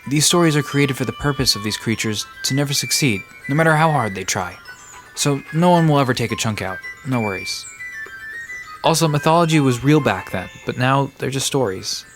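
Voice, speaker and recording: A man's voice: medium pitch, lively intonation, a little fast, over music, natural voice. A man playing a laid-back, bookish park resident in an audio drama, casually explaining myths with a dry manner. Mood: reassuring, explanatory. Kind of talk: conversational audio drama storytelling.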